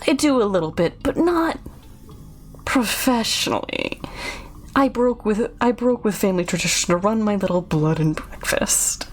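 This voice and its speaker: A woman's voice: medium pitch, animated, moderate pace, natural voice. A woman voicing a creepy, hunched male innkeeper in a video game, with sinister politeness, a lisp and oddly stressed words. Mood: polite, sinister. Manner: lisping, oddly emphasized words. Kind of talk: video game character read-aloud.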